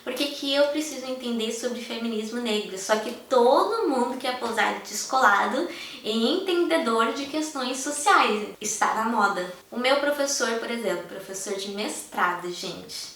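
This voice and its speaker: A woman's voice: on the high side, lively intonation, normal speed, fairly bright tone. A young Brazilian woman with a Brazilian Portuguese accent, vlogging frankly and with passion, talking straight to her viewers. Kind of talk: candid personal vlog. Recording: very echoey room, a bit far from the mic.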